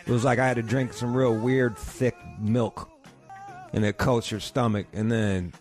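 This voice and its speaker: A man's voice: mid-range voice, darkish tone. A man hosting a podcast from home, rambling through a casual, profane rant about his day. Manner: rambling, swears constantly, ranting. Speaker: podcast host.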